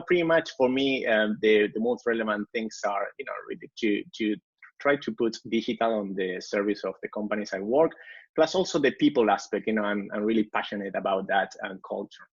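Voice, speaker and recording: A man's voice: lowish voice, fairly bright tone, husky, lo-fi sound. A man in his forties from Argentina with an Argentine Spanish accent, answering interview questions in a reflective way, full of "you know" fillers. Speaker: guest.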